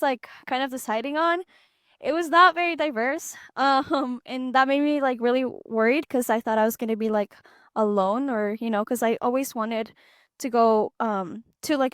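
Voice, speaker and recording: Young woman from Peru, college co-host, higher voice, chatty with lots of fillers, dry close sound. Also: clear, resonant tone, no room sound. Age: young adult. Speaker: podcast co-host, student ambassador. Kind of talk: casual chat.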